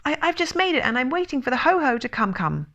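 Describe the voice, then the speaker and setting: A tiny, timid storybook piglet performed by a woman, squeaky and high. He is anxious and easily flustered, tripping over his words and blurting them out. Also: expressive, a little slow, a bit bright, muffled recording. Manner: nervous, stammering, blurting.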